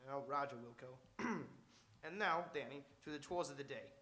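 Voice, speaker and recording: A man's voice: medium pitch, normal speed, sharp tone, close to an everyday voice. A man playing a long-winded, good-natured police sergeant in a live radio drama, speaking with pompous formality. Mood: earnest, officious. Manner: verbose, pompously formal wording.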